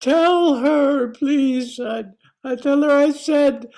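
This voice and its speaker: Casting: haunted male author played by a woman, anguished and halting, mellow timbre, unhurried, radio drama. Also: high-pitched, vocal fry, exaggerated voice. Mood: anguished pleading. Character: desperate tormented male famous writer, haggard and pleading.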